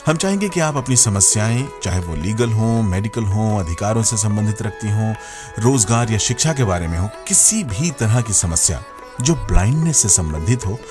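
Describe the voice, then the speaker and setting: A man's voice: lowish voice, animated, normal speed, over music. A man with an Indian accent co-hosting a radio magazine show, addressing listeners politely and conversationally.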